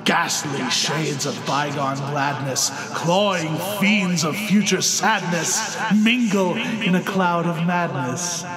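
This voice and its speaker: Slow, solemn man reciting poetry over music. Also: on the high side. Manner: theatrical, solemn, measured. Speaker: narrator. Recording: loud music under the voice.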